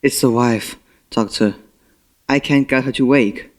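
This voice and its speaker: Student actor as household butler, male, medium pitch, respectful, defensive, amateur stage drama. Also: measured pace, natural voice. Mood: anxious, respectful. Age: young adult. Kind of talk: amateur stage play acting.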